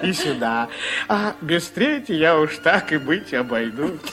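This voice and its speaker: A man's voice: fairly high pitch, animated, unhurried. An actor playing a playful, absorbed painter in a radio play, musing aloud to himself with delight in his work. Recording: music playing clearly behind the voice.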